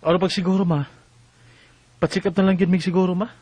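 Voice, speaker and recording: An actor with a Cebuano accent playing an earnest, concerned young husband in a Bisaya radio drama. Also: male voice, high-pitched, little pitch movement, fast, a little mellow, slight background noise, natural voice.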